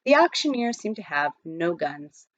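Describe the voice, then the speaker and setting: Female storybook narrator, lively and expressive scripted reading, close dry sound, a little muffled. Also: fairly low pitch, normal speed, no echo. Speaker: audiobook reader.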